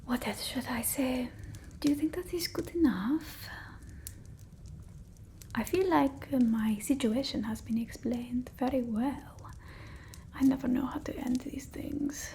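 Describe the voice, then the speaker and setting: A woman playing a haughty but fond vampire mistress in an ASMR roleplay, speaking softly and breathily, often whispering, formal and hesitant. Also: high-pitched, slight background noise. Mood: scornful, musing. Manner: slow, hesitant, formal, politely imperious.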